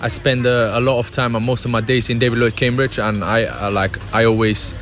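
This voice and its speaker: A man's voice: mid-range voice, steady tone, a little fast, a bit bright, a little hiss or hum. A young man from Spain, a footballer, answering interview questions by a pool outdoors, with many restarts and fillers. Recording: music playing behind. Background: music.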